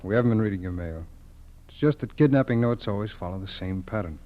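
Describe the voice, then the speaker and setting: A man playing a calm, methodical FBI agent, measured and matter-of-fact, in an old radio crime drama. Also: fairly low pitch, lightly acted. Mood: businesslike. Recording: tinny, phone-like old radio recording.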